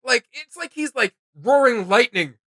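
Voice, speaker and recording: A man's voice: very high-pitched, expressive, moderate pace, bright, forceful tone, no room sound, studio quality. A man hosting a reaction video, giving casual, opinionated commentary in an emphatic, slangy way.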